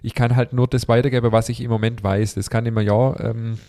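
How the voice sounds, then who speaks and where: A man's voice: mid-range voice, level tone, brisk, warm tone. A man hosting a podcast in casual chat, a little stuffy from a cold, rambling with many fillers and restarts. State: has a cold. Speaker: podcast host.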